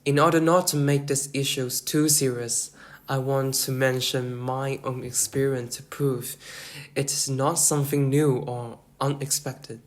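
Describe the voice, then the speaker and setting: A podcast co-host, a man from Macau whose first language is Cantonese, speaking English at a middle pitch. He moves through his points evenly, like someone working from prepared notes. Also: steady tone, measured pace. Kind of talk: podcast discussion.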